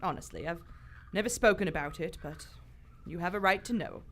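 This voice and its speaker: A woman's voice: on the low side, a little fast, a bit bright, natural voice. A woman playing a poised, wry aristocratic British explorer in live radio theater, dry and commanding. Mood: sombre, reflective. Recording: live stage recording with faint noise.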